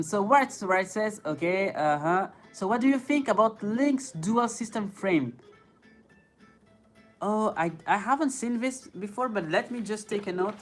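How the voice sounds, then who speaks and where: French guy on a phone stream, reads chat out loud, lots of ums and laughs, quiet music behind. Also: sits high. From France. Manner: reads chat aloud, hesitant with many fillers, laughs often. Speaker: inline skating streamer. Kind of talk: live stream answering chat questions. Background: faint background music.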